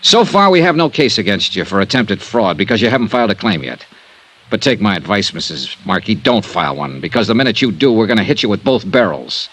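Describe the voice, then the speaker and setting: A cynical American investigator in an old radio mystery. His pitch swings widely as he presses his point, dry, direct and insinuating. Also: sing-song, brisk, a bit bright, heavily acted, low-quality recording. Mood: stern warning. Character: hard-boiled, cynical insurance investigator, coolly pressing. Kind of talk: 1950s radio detective acting.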